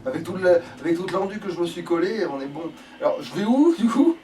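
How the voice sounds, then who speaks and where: A man's voice: high-pitched, lively intonation, brisk, warm tone. A man giving casual let's play commentary, slangy and prone to swearing. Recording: distant mic with faint noise.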